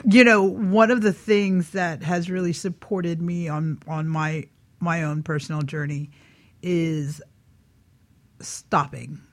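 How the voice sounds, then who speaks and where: A woman's voice: on the low side, fairly even intonation, takes it slowly, no echo. A woman hosting a radio talk in a casual motivational style, playful and often checking in with her listeners. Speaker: radio show host.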